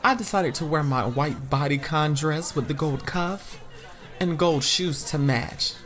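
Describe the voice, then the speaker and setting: A sassy, lovestruck American woman tells her own story in an audio drama. The voice is mid-pitched, expressive and chatty, full of girlfriend slang, with music playing behind it. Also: measured pace, a faint crowd. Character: sassy, lovestruck woman with playful confidence, Topaz. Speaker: narrator and lead character.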